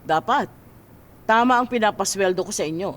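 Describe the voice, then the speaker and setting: A woman's voice: mid-range voice, moderate pace, lightly acted. A woman playing a greedy, domineering middle-aged mother and boss in a radio drama, scolding and imperious. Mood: stern. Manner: sharp-tongued, scolding, imperious.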